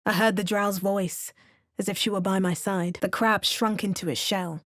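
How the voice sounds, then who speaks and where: A close, dry recording of a woman acting as a wry, curious heroine in a fantasy video game. She speaks in a measured, storylike way at a middling pitch, like a seasoned adventurer who casts spells. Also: fairly even intonation, fairly bright tone, no room sound, natural voice. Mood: wry, pensive. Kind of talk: video game character voice lines.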